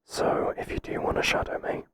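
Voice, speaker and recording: A man playing a flustered but principled boss in an ASMR roleplay, speaking hesitantly in a breathy whisper. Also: close to an everyday voice. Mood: businesslike, gentle. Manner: hesitant, halting, gently firm. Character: flustered, professional male boss, kind but firm. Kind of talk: whispered ASMR roleplay, intimate second-person acting.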